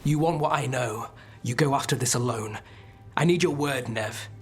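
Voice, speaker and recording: A man's voice: medium pitch, moderate pace, breathy edge, faint music behind, lightly acted. A man playing a wary, streetwise criminal who bargains bluntly and persuasively in a fantasy video game. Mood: wary, insistent.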